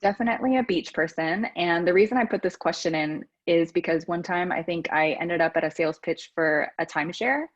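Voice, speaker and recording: Podcast host, Texan woman, low pitch, relaxed and chatty, lo-fi remote call sound. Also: brisk, few pauses. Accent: Texas. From United States. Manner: relaxed, with frequent ums and restarts. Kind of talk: casual conversational interview. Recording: dull lo-fi audio.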